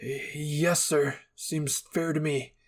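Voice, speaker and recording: Casting: a man playing a boyish fan-fiction hero, playful and earnest, quick to fluster. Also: on the high side, level tone.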